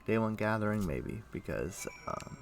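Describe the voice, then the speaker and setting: A man livestreaming a game, chatting casually and thinking aloud in a rambling way, with a rough morning voice. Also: on the low side, expressive, measured pace, slight background noise. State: rough morning voice, throat clearing. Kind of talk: casual chat while playing an online game.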